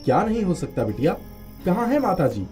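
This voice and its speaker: Man voicing animated, mellow village headman. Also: sits high, brisk, soft background music. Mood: worried, puzzled. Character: respectful, eager male village headman, family friend. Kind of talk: Hindi animated moral story acting.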